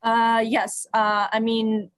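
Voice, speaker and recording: A woman from Ukraine, a native Russian speaker, speaking as an interview guest in a halting way, searching for words. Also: on the high side, monotone, no room sound, clean studio sound. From Odessa, Ukraine; moved to Canada at eleven. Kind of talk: radio interview, personal account.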